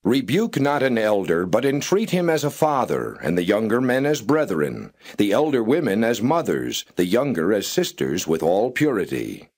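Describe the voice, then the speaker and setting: Man solemnly reading scripture, expressive pitch. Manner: measured, solemn, formal delivery. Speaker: Bible narrator.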